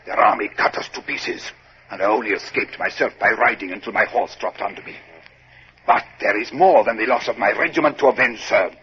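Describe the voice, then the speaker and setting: A man's voice: lowish voice, fairly quick, close to an everyday voice. Man acting a grave Spanish colonel, old radio. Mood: bitter, grieving. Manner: grave, dramatic, formal. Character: embittered, vengeful Spanish army colonel. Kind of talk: 1950s radio drama acting. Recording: muffled radio recording, faint noise.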